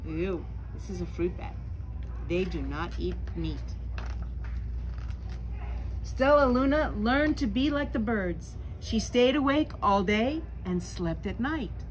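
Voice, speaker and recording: A woman in her sixties reading a picture book aloud to children in a slow, dramatic storytelling manner, with little asides. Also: medium pitch, animated, poor audio. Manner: slow, dramatic, deliberate phrasing. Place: small bookstore, indoors. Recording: clear background room noise.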